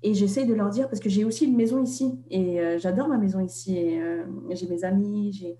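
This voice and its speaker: A young woman from Vietnam, an author and interview guest, talking in a reflective way and often pausing on fillers and restarts. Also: medium pitch, warm tone, reverberant, slight background noise. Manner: hesitant, many fillers and restarts.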